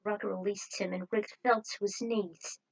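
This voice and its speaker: A woman's voice: medium pitch, fairly even intonation, moderate pace, vocal fry, rough recording. A woman narrating a scripted adventure story in a dramatic read-aloud manner, with the occasional stumble. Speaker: narrator reading own fan fiction.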